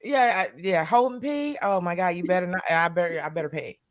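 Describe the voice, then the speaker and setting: A woman's voice: on the low side, a little fast, background noise. Brassy laughing woman in her forties. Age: 40s. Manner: loud, laughing, brassy and playful. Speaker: panelist. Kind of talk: casual group chat.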